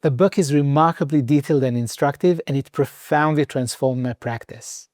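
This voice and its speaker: A man's voice: medium pitch, darkish tone, no room sound. Israeli-accented man in his forties, dry close sound. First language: Hebrew. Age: 40s. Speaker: meditation teacher. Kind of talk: scripted personal narration.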